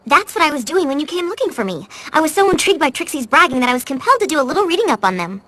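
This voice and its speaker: A woman's voice: high voice, sing-song, racing, bright, forceful tone, no echo, full character voice. A woman voicing an earnest, modest and studious young female unicorn in a cartoon, explaining things carefully. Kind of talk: animated cartoon acting.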